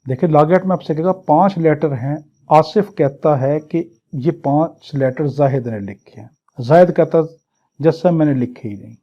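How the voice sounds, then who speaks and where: Husky Pakistani man giving a law lecture. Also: on the high side, moderate pace, mellow, dull audio. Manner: didactic, posing questions and answering them himself. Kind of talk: exam-prep lecture, reading English clauses and explaining them.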